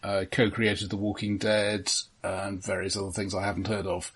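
A man co-hosting a casual film discussion, dry and measured, choosing his words with some halting. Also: fairly low pitch, monotone, a bit bright, breathy edge. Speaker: film podcast co-host. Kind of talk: casual film review chat.

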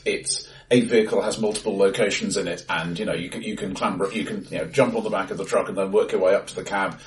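A man co-hosting a casual film discussion, halting with many restarts and dry understated humour, recorded in a bright, echoey room. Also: low-pitched, far from the mic. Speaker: podcast co-host. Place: acoustically bright room, face to face. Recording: bright room, voices a bit off-mic.

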